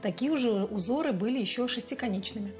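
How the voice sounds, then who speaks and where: An actress playing a patient, playful mother in her forties with a Russian accent, gently explaining things to her child in a TV sitcom. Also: fairly high pitch, fast talker, faint music behind, lightly acted. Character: bilingual mother, patient, fond of teaching. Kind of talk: television sitcom acting.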